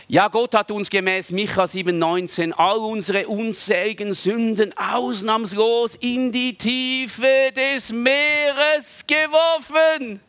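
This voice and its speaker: A man preaching a sermon in a conversational way, often checking for the listeners' agreement and dropping into soft asides. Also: high-pitched, no echo. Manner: conversational, seeks agreement with frequent rhetorical tags, dips to soft asides.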